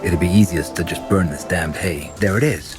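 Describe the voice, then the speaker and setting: An actor playing a stern, sardonic inquisitor in a grim medieval fantasy video game, with a gruff, dry, commanding manner. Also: male voice, sits low, moderate pace, music in the background, close to an everyday voice.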